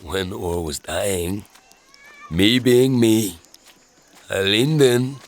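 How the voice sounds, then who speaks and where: A man playing a troubled, wry scavenger in a dark fantasy audio drama, gravelly and husky, with a hesitant, halting delivery. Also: lowish voice. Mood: brooding confession. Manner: hesitant, halting, dryly sarcastic. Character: gruff, troubled young male scavenger with inherited powers, wry.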